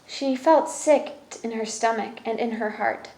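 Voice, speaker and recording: A woman's voice: a little high, unhurried, warm tone, roomy sound. A woman reading a children's picture book aloud as a storyteller, in narration with brief explanatory asides.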